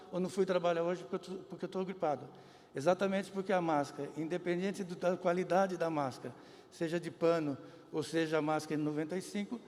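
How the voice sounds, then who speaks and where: High-voiced man answering at a press conference. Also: a little mellow. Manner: explanatory, measured, occasional restarts. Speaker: health official answering press. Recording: reverberant hall.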